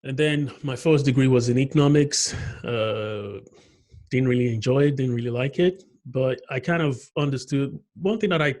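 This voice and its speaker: A man from Nigeria speaks as a podcast guest. His voice sits at a middling pitch, and his storytelling is reflective and unhurried, dotted with soft "yeah"s. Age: adult. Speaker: guest, agile marketing consultant. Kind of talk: conversational interview.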